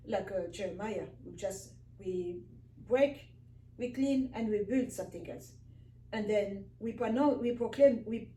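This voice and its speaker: A woman's voice: medium pitch, warm tone. Earnest woman minister, echoey room. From Africa. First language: Swahili. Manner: earnest and emphatic, repeating phrases. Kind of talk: personal testimony sermon. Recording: echoey room, distant mic, faint hiss.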